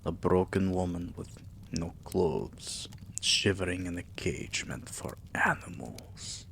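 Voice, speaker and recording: A very low, gravelly vampire king, acted by a man, murmurs in an intimate ASMR roleplay. He is regal, possessive and doting, taking his words very slowly and often slipping into a whisper. A faint noise sits behind the close-miked voice. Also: exaggerated voice. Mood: tender, possessive. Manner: slow, hushed, breathy murmur, often dropping to a whisper. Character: tender, possessive immortal vampire king, soothing his beloved pet.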